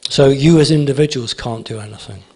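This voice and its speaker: A man's voice: medium pitch, brisk, darkish tone, husky. A man speaking at a conference, emphatic and repetitive, answering audience questions. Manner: emphatic, repeats phrases, asks "okay?".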